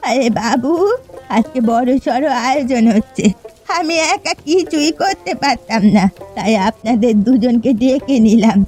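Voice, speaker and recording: A woman voicing a scheming old village mother-in-law in a cartoon, persuasive and unhurried. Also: on the high side, a little mellow, lightly acted. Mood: wheedling. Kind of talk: cartoon voice acting. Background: faint music.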